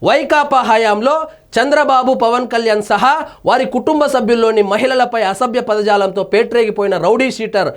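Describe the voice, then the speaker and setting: A man presents news analysis. He moves between reading reports and offering pointed commentary. His delivery is emphatic and indignant, leaning on rhetorical questions. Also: extremely high pitch.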